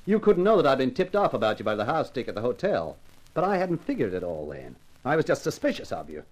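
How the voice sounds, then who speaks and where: A man's voice: medium pitch, big pitch swings, fast talker, rough recording. A man playing a hardboiled, sardonic private eye in a 1950s radio crime drama, with slangy, punchy delivery. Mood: bitter, sardonic. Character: wisecracking hardboiled American male private detective, weary and defiant. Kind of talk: 1950s radio crime drama acting with first-person narration.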